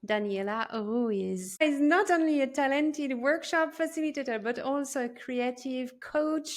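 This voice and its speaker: Slow-paced woman podcast host. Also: high voice. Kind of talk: podcast interview.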